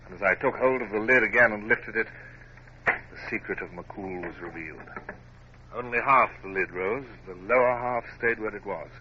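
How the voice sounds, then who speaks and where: Naval officer memoirist male actor, low register, formal, literary and reflective, dull noisy old radio-drama sound. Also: normal speed, close to an everyday voice. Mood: puzzled, intent. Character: reflective, scrupulous young male Royal Navy officer narrating memoirs. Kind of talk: dramatic first-person radio drama narration.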